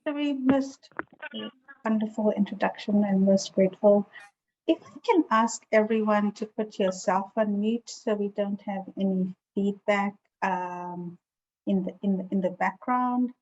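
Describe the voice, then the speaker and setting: A woman's voice: mid-range voice, a little slow, warm tone, slight background noise. A woman with a South African accent giving an informal, explanatory online seminar talk in a conversational way. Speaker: presenter, estate planning attorney. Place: online video call with virtual background. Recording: dull lo-fi video call sound.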